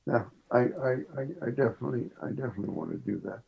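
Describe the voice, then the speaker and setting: An older man with a husky, gravelly voice speaks as an interview guest. His phrasing is measured and a little formal. The sound is dull and lo-fi. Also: mid-range voice. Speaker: professor guest. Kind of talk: reflective interview talk.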